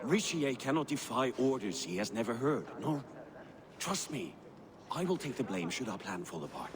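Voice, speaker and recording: An actor playing a cunning, secretive Viking war chieftain who talks in a conspiratorial, commanding way. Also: male voice, medium pitch, sing-song, normal speed, a crowd in the background, close to an everyday voice.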